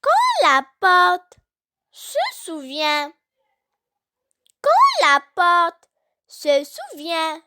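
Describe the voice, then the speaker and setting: A very high-pitched, childlike voice recites poetry with a bright tone and lively melody. The delivery is deliberate, broken into short phrases with long pauses between them, as if for young learners to follow. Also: a little hiss or hum. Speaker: poem reciter.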